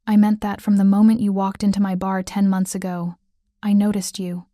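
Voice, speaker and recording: A woman voicing a calm, possessive male mafia boss in an audiobook, speaking low and measured. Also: dry recording, clean studio sound, natural voice.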